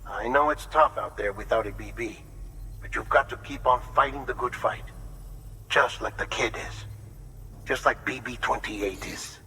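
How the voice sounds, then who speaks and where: A man's voice: mid-range voice, normal speed, sharp tone, lightly acted, low-quality recording. An actor playing an earnest commander in a video game, firm and encouraging as he gives orders. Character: earnest male commander urging on a courier. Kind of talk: scripted game dialogue. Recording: clear background noise.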